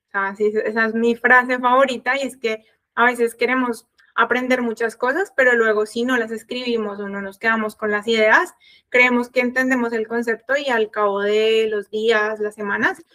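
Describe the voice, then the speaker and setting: Casting: woman in her thirties, Colombian accent, fairly high voice, conversational online trainer and coach. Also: a bit bright. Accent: Colombian Spanish. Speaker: sales coach and consultant. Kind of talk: online workshop presentation, conversational.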